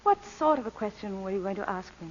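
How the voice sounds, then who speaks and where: A woman's voice: mid-range voice, lively intonation, fast talker, slight background noise, close to an everyday voice. An actress playing a poised, candid and proud lady thief, speaking in an articulate, theatrical old radio style. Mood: tender. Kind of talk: 1940s radio acting. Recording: dull vintage radio broadcast recording.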